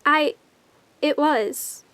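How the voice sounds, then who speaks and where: Radio drama child: actress as innocent little girl, sweet, very high voice, close dry sound. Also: drawn-out words, a bit bright, dry recording, heavily acted. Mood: innocent, recounting. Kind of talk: audio drama acting.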